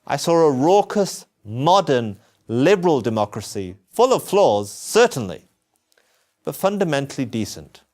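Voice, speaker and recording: British man, expressively pitched scripted testimony. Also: very animated, measured pace, darkish tone. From England. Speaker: presenter of a personal testimony. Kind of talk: scripted narration.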